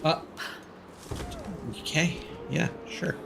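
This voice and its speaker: A man's voice: extremely slow, background noise. A man giving casual live commentary as he streams a video game, thinking aloud hesitantly.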